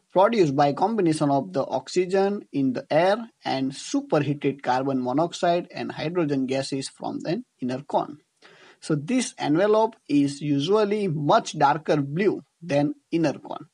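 A man's voice: fairly high pitch, darkish tone. A man with an Indian accent giving a scripted tutorial as an engineering teacher, explaining didactically and rephrasing his points. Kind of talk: scripted lecture narration over slides.

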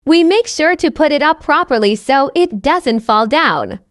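High-pitched woman narrating a simple lesson. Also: expressive, unhurried, no echo, clean studio sound. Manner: carefully enunciated, repeats sentences identically. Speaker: narrator of English learning stories. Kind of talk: scripted slow narration for language learners.

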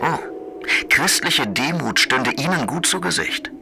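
Cold-mannered, threatening prosecutor for radio play; formal, admonishing, piercingly forceful delivery. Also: male voice, a little high, expressive, unhurried, gravelly, a little hiss or hum, heavily acted. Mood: stern, lecturing. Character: menacing, condescending male federal prosecutor. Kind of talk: German radio play acting.

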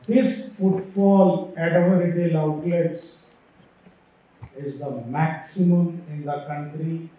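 A man's voice: on the high side, steady tone, warm tone. Indian man, echoey distant auditorium talk. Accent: Indian English. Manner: emphatic, slows down to stress figures. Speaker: keynote speaker. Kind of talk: conference keynote talk. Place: large auditorium stage. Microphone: podium microphone, heard from far. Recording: echoey hall from far off.

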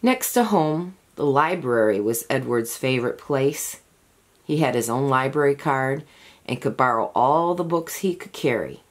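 Woman reading a kids picture book out loud, deep voice, slow and expressive. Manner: expressive, deliberate storytelling. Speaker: storybook reader. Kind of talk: children's picture book read-aloud, scripted narration.

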